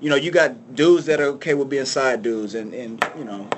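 A man's voice: mid-range voice, moderate pace, background noise. Rambling man in a casual chat. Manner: rambling, many restarts and fillers. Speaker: co-host.

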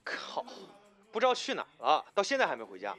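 An irritable teenage older brother in an audio drama. His voice is high and sharp, swinging wildly in pitch as he grumbles curtly and brashly. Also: wildly swooping pitch, fast talker, heavily acted. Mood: annoyed. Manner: curt, grumbling, swearing. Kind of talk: Chinese audio drama acting.

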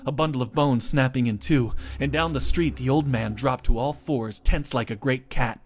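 A man's voice: medium pitch, normal speed. A man reading his own first-person short story as dramatic scripted narration.

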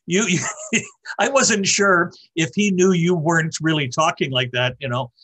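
Talk show guy from ontario, sharp bright voice kinda husky, chatty, laughs a lot. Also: fairly high pitch, unhurried. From Ontario, Canada. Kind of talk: casual interview chat.

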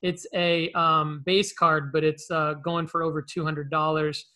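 A man hosting a casual collectibles show, explaining things in a rambling, halting way. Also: on the high side, little pitch movement, pushed and bright. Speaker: show host. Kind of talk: casual, conversational collectibles talk.

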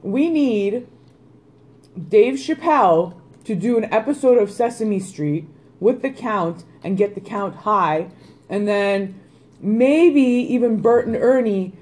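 Podcast co-host, woman, mid-pitched, loose and profane, animated banter. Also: takes it slowly. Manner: swears freely, animated and jokey. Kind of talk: casual chat.

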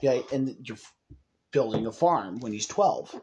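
A man's voice: mid-range voice, animated, a little slow, darkish tone, dull audio, slight background noise. A young man talking casually in a group conversation.

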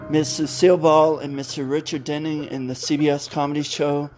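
A man hosting an old-time radio podcast, calmly wrapping up the episode and announcing upcoming shows in a deliberate way. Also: on the high side, soft background music. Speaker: podcast host. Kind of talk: casual podcast outro.

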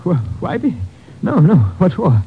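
An actor playing a scared, evasive American hobo and petty thief, stammering defensively in ungrammatical drifter talk in a 1950s radio crime drama. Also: male voice, sits high, big pitch swings, unhurried, a little hiss or hum, exaggerated voice. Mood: scared confessing. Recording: tinny, band-limited old radio recording.

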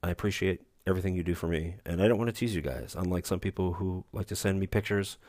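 A man's voice: fast talker, a little mellow, no room sound. A man hosting a casual solo podcast, rambling with dry, self-deprecating humor in a soothing voice. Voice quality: soothing low voice. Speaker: podcast host. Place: recording remote, away from studio.

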